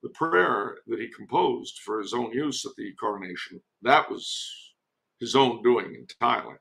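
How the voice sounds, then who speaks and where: A man's voice: medium pitch, moderate pace, vocal fry, fairly bright tone, rough recording. A man giving casual historical commentary as a podcast guest, digressive and given to wry asides.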